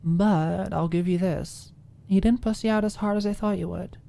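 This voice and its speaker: A woman's voice: mid-range voice, fairly even intonation, normal speed, vocal fry, warm tone, voice pushed up, lightly acted. A woman voicing a cocky, tough college student with a blunt, sardonic, teasing way of talking. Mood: smug teasing.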